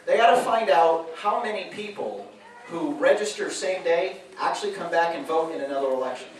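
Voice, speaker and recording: A man's voice: on the high side, moderate pace. A man, a radio host emceeing a public meeting, telling stories in a folksy way with chuckling asides. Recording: echoey room from a distance.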